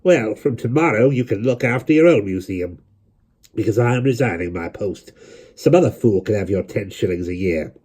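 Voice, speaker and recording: A man voices a proud, unbending old man with a cold, formal delivery. The voice sits low with a husky grain, and the pitch rises and falls widely as he scolds. It is a storybook reading. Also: lively intonation, moderate pace, a little mellow. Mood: exasperated. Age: older. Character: stern proud old male museum keeper.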